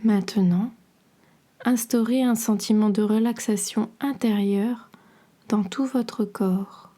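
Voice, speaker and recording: Slow, mellow woman guiding relaxation. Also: medium pitch, fairly even intonation. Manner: soothing, measured, instructional delivery. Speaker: podcast host and yoga nidra guide. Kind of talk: guided relaxation meditation.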